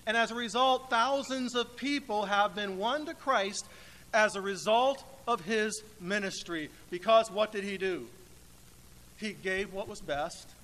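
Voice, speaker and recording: A man preaching a sermon in a church, speaking emphatically and deliberately with dramatic pauses. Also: high-pitched, fairly bright tone. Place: church sanctuary, indoors. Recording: echoey church hall with faint noise.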